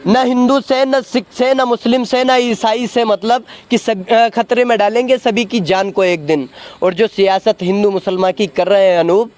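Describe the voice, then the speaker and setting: A man argues politics in a strikingly high-pitched voice. He is combative and insistent, repeating himself and pressing rhetorical questions as an interviewee who wants to be heard. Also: fairly even intonation, normal speed, darkish tone. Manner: combative, cuts in to demand a hearing. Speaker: interviewee in a street political debate. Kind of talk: heated political argument.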